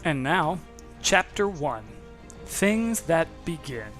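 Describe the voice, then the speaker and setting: An author narrating a children's novel in an expressive, theatrical way, addressing listeners directly. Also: mid-range voice, slow pace, over loud music.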